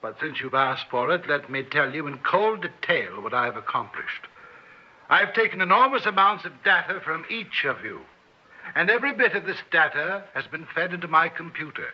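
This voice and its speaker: Boastful, pompous genius scientist, taunting, vintage radio drama, slightly raised pitch, dull lo-fi sound. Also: male voice, animated, normal speed. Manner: condescending, pompous. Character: arrogant, coldly superior male scientist, taunting and boastful. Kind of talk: old-time radio drama acting. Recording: muffled old radio recording.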